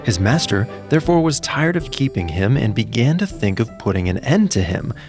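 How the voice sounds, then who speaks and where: Animated male fairy-tale storyteller. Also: medium pitch, moderate pace, creaky, over music. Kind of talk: scripted fairy-tale narration.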